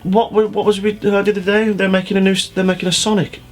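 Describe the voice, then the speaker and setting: A man's voice: sits high, flat delivery, fast talker, faint music behind. A man co-hosting a show with casual banter and an incredulous manner. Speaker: show co-host.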